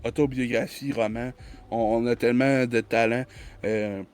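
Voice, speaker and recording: A man's voice: medium pitch, fairly even intonation, moderate pace, clean tone. A man with a Quebec French accent, a podcast guest chatting casually and rambling through many restarts. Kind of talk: casual conversational chat.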